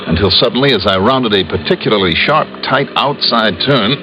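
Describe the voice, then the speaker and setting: An American actor playing a cool, observant insurance investigator in a classic radio crime drama, with a clipped, probing way of talking. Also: male voice, on the low side, moderate pace, lightly acted. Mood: tense narrating. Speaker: lead character and narrator of radio detective series. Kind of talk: classic American radio drama acting and first-person narration. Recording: noisy, muffled old radio recording.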